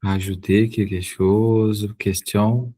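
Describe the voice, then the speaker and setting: A man's voice: fairly low pitch, flat delivery, mellow, breathy edge. A Brazilian man whose first language is Portuguese, hosting an online spiritist study session and often restarting his words. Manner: slow, halting, repeats words as he searches for them. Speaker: host of a spiritist study group.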